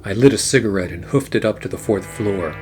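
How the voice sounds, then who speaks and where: A low-pitched, weary private eye from a 1940s noir radio drama , acted by a man. He tells his case and trades wisecracks in a deadpan way, with music clearly playing underneath. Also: fairly quick, lightly acted. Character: weary, wry 1940s hard-boiled male private eye. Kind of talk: noir radio play acting with first-person narration.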